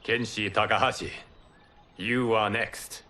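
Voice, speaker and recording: An actor playing a calm, god-like lord who mentors fighters in a video game, speaking formally and with measured authority. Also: male voice, on the low side. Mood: commanding. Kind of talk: cinematic video game voice acting.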